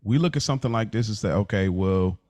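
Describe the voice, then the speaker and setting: An American man hosting a casual podcast discussion, speaking deliberately as he lays out points and poses questions. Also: on the low side, expressive, darkish tone, dry recording. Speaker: podcast host.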